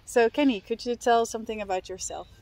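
A woman's voice: fairly high pitch, quick pace, dark tone. A woman in her thirties, a native Dutch speaker, hosting a casual interview in a friendly way with many affirming murmurs, seated at an outdoor picnic table. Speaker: host.

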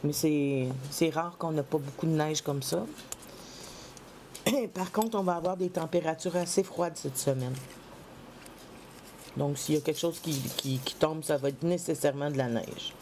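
A woman from Quebec with a head cold, chatting casually while decorating a planner, halting with many fillers. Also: sits low, steady tone, a little mellow, a little hiss or hum. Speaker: planner vlogger. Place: home craft table, indoors.

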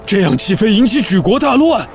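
An actor playing a timid, excitable royal attendant in a costume audio drama, flustered and exclaiming. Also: male voice, sits high, fairly quick, creaky, faint music behind, exaggerated voice. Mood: alarmed, puzzled. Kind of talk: Chinese costume radio drama acting.

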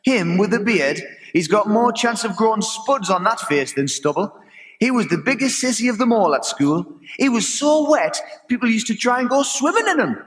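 Brief: a man as a cheeky, flirty superhero, bright forceful voice, long hall echo. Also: high-pitched, lively intonation, normal speed. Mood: teasing.

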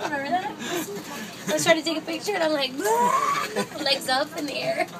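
A woman's voice: high voice, expressive, moderate pace, shouting, very noisy. A woman chatting and giggling as a travel companion, telling silly stories outdoors. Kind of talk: casual chat.

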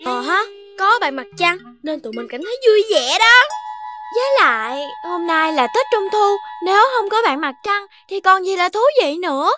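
A perky, sensible little animal child in a cartoon. The childlike voice is extremely high and springs around expressively, with clear music underneath. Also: female voice, animated, moderate pace. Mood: earnest. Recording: music behind the voice.

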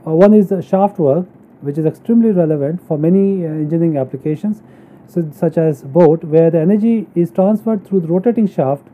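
A man giving a halting, step-by-step technical lecture as an engineering teacher, explaining material from slides. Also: on the high side. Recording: narrow phone-like sound.

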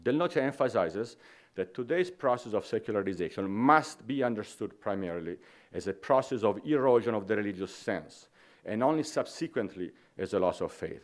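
A middle-aged man with an Italian accent gives an academic conference lecture at a podium, reading dense prose in a measured way with small restarts. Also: fairly low pitch, lively intonation. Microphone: podium microphone.

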